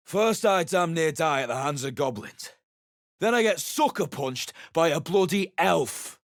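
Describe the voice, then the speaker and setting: An actor playing a gruff, bitter British adventurer in a video game, curt and dismissive, with a rough voice. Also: male voice, on the high side, animated, a little slow, no echo, studio quality. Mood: angry. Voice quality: husky, rough. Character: gruff, bitter adventurer man, surly and battle-worn.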